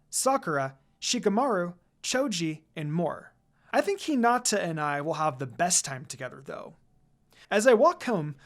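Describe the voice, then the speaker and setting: Woman reading fan fiction with lively intonation. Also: medium pitch. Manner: casual, dramatized reading. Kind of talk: expressive first-person story narration.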